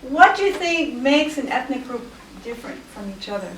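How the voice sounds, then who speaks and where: A woman playing a teacher who leads a class discussion and gently challenges her students' answers, in an amateur student drama. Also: sits high, sing-song, moderate pace, a little hiss or hum. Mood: calm. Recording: roomy classroom sound from far off.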